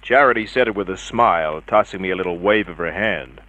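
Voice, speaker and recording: A man playing a wry, tough private eye, deadpan and wisecracking, in a hard-boiled radio drama narration. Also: sits low, brisk. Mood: wry, narrating. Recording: dull vintage radio drama recording.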